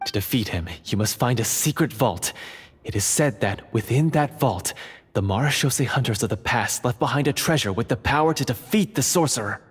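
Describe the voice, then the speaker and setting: Earnest undercover dungeon guard, long reverb. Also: male voice, medium pitch, expressive, a little fast, husky. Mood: grave. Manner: grave, urgent, formal. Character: earnest undercover male dungeon guard, secret monster hunter. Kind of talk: fantasy video game voice acting. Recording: long reverb, faint noise.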